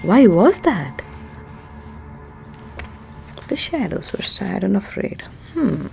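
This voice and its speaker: A woman's voice: mid-range voice, very animated, slow pace, creaky, darkish tone, faint music behind. A woman reading a children's story aloud, chatting and putting questions to young listeners as she explains.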